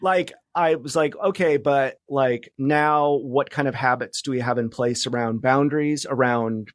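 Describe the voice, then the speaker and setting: A man's voice: mid-range voice, no room sound. Reflective man in a close, dry interview. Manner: halting, reflective, many false starts. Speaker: podcast guest, media founder and journalist. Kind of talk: casual interview conversation.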